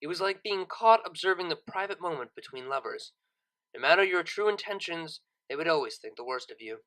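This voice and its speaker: Audiobook narrator, mid-pitched, bright and forceful, dramatic deliberate phrasing. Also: lively intonation, rough recording. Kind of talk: expressive scripted story narration.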